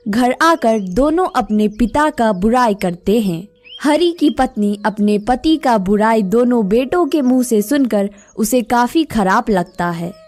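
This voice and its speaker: A woman narrates a scripted moral tale in a fairly high voice. She takes her time with each sentence, very slowly, as a storyteller does, over faint music. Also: expressive, darkish tone.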